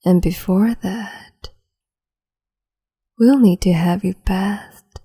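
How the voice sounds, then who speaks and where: A woman playing a breathy whispering cruel empress. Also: mid-range voice, fairly even intonation, dark tone, exaggerated voice. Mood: cruel doting. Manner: slow, teasing, condescending, doting. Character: cruel capricious female empress, young adult. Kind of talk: ASMR roleplay audio.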